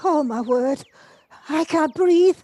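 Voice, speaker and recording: A woman's voice: high-pitched, slow pace, mellow, dry recording. An actress playing an easily startled, dramatic country woman in an audio play, with a breathless, fluttery delivery. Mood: shocked, breathless.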